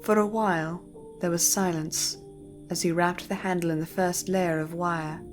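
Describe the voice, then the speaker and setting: A woman narrating a scripted story in a measured, literary storytelling manner. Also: lowish voice, fairly even intonation, faint music behind.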